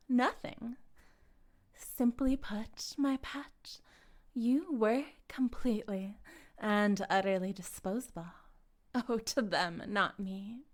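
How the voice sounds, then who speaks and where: A woman playing a smug, possessive female cult leader in an intimate roleplay monologue, speaking deliberately and teasingly, often slipping toward a breathy whisper. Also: fairly high pitch, a little mellow. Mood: condescending, intimate.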